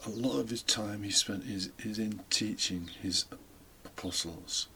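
A British man delivers a homily in a fairly low, bright voice. He explains in a reflective, conversational way, dropping in informal asides. There is faint noise behind him. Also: unhurried. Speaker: homily podcast host, deacon. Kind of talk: informal homily, partly scripted.

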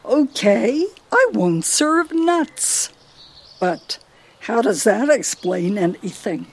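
Casting: woman to play bossy older American wife in radio play, fussy and scolding, slightly raspy, lively pitch swings. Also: takes it slowly, full character voice. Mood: suspicious, fussy.

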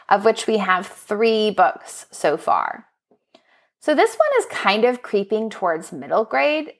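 A woman presenting book recommendations as a podcast host, speaking in an enthusiastic, expressive, prepared narrating style. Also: mid-range voice. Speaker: school librarian.

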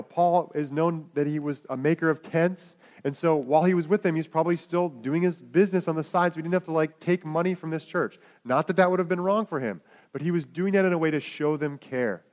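A man's voice: on the high side, darkish tone. A man preaching a sermon, in a conversational way with asides to the congregation. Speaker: pastor.

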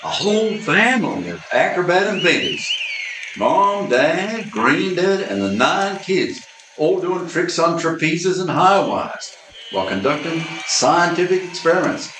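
A man's voice: on the high side, very animated, a little slow, echoey room, background noise. A man playing a bumbling, easily impressed detective in an amateur radio play. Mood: excited.

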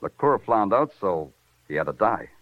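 An actor playing a sardonic, hard-boiled police lieutenant in a noir radio drama, terse and wry. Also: male voice, on the low side, sing-song, unhurried, dry recording, phone-quality sound, exaggerated voice. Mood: tough, insistent. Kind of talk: 1940s radio noir acting. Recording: old band-limited radio recording with music.

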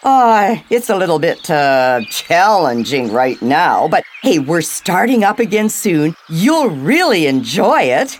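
Woman playing very animated, chatty older woman. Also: fairly low pitch, takes it slowly, few pauses. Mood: upbeat, chatty. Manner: chatty, upbeat. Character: sociable, upbeat older woman in a seniors' residence. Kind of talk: audio drama acting. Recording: clear background ambience noise.

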